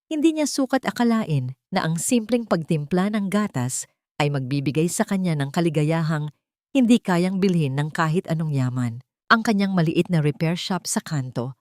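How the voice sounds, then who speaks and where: A woman narrating a scripted Tagalog romance story in a measured, gently emotive reading. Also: on the low side, dry recording, clean studio sound.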